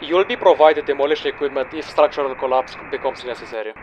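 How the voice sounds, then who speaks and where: A man's voice: mid-range voice, quick pace, dull audio, noisy background, lightly acted. A man playing a stern, businesslike mission handler, giving a formal and unyielding briefing in an audio drama. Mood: grave.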